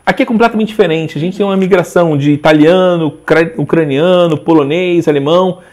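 A man in his forties, a guest in a casual interview, talking in a rambling, animated way with frequent restarts. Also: fairly high pitch, brisk. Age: 40s. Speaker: interview guest, social media page owner.

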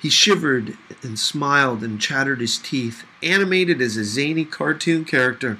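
A man reading a novel aloud as expressive scripted narration. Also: medium pitch, normal speed, slight background noise. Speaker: audiobook reader.

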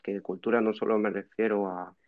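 A man of about twenty speaks with a Honduran Spanish accent as a guest in a casual interview. His pitch is fairly low, and the recording is a little dull and lo-fi. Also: little pitch movement, a little fast. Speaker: podcast guest, university student in France.

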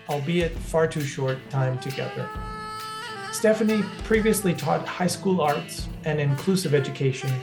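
A man from Canada hosting a podcast, talking casually and hesitantly with many fillers. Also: a little high. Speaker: podcast host. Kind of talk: casual interview chat.

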